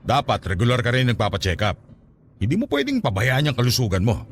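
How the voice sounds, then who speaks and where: A man's voice: medium pitch, wildly swooping pitch, brisk, a little hiss or hum. An actor playing an attentive, probing middle-aged businessman talking to his partner in a Tagalog radio drama. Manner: probing, solicitous.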